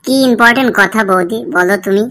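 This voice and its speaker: A woman's voice: mid-range voice, quick pace, pushed and bright, lightly acted. A woman voicing a hesitant, placating young village man in a comedy cartoon. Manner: questioning, placating.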